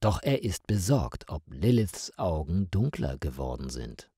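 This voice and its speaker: A man reading a mystery story for language learners in a deliberate, carefully phrased scripted narration. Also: low voice, sing-song, dark tone, husky.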